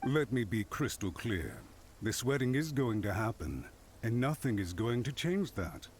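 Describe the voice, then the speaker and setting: A man's voice: mid-range voice, sing-song, a little slow, slight background noise. A man voicing a haughty, scheming clan official in an anime-style game, formal, pompous and emphatic. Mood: defiant.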